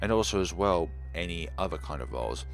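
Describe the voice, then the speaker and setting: A young man streaming a game, chatting in a calm, relaxed, low-key way. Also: brisk, faint music behind. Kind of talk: casual let's play chat.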